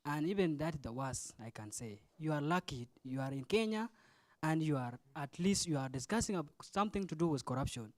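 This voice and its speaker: A man's voice: on the high side, lively intonation, fairly quick, dry recording. South sudanese guy in his 20s, student leader speaking at a forum, short teachy phrases, dry sound. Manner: didactic, short phrases, questioning the audience. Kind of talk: impromptu forum speech.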